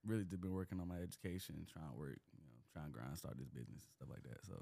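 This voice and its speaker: A young man with a Southern US accent, a guest in a casual chat, talking in a relaxed, slangy way and at times preaching. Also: rumbling low, very fast, gravelly. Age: young adult.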